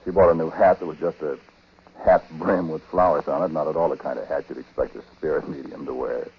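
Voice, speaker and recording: An actor playing a haunted, uneasy husband, narrating a dark story in a halting, conversational 1940s radio style. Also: male voice, rumbling low, fairly even intonation, slightly rough, full character voice. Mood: reflective, subdued. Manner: halting, conversational, brooding delivery. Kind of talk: 1940s radio drama acting and first-person narration. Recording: muffled, noisy vintage 1940s radio broadcast.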